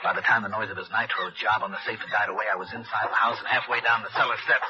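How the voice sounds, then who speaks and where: A man's voice: lowish voice, steady tone, fast, heavy background noise, close to an everyday voice. An American man playing a cool, guarded hard-boiled insurance investigator in 1960s radio noir style. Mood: tense, measured. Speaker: narrator and lead actor. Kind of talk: 1960s radio noir acting, first-person narration. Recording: dull old radio recording with music.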